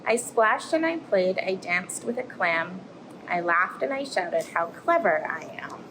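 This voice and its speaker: A friendly, plucky little octopus played by a woman tells a rhyming storybook tale. The delivery is expressive and swings widely in pitch, over clear background noise. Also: a little slow, natural voice. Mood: relieved, cheerful. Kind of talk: rhyming picture-book read-aloud. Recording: clear background noise, aquarium room ambience.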